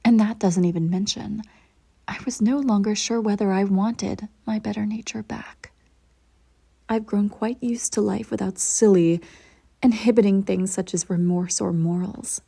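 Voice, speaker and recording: An ancient evil empress acted by a woman speaks in a dark, mellow voice with a gravelly creak. She is regal, cold and possessive, choosing formal words with arch care in an intimate roleplay monologue, her voice at times sinking toward a whisper. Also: mid-range voice, fairly even intonation. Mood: confiding, imperious. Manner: formal, measured, arch diction with breathy near-whispered drops. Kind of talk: scripted audio roleplay monologue, intimate direct address.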